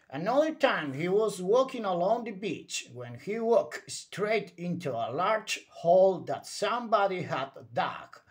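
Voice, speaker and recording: A man reading a children's story aloud in a theatrical, emphatic bedtime read-aloud style. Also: high voice.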